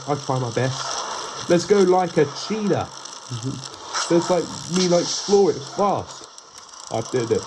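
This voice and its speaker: A man with a congested, stuffy nose talks his way through a gameplay run, rambling and restarting his sentences and breaking into sudden excited yelps. His voice sits at a middling pitch. Loud clanking gear and ratchet sounds from the game run underneath him. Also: heavy background noise. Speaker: gaming channel host. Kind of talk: gameplay commentary.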